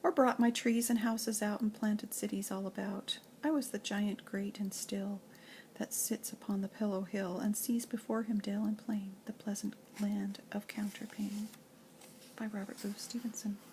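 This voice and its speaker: An older woman, a grandmother, reading nursery rhymes and children's poems aloud in an expressive, playful sing-song way. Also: mid-range voice, moderate pace, a little hiss or hum, rough recording.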